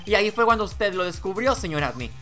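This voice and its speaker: A man's voice: high voice, very animated, fast talker, pushed and bright, soft background music, heavily acted. A man voicing an earnest, determined young male defense attorney in a video game, speaking firmly. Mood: accusing. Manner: firm, declarative.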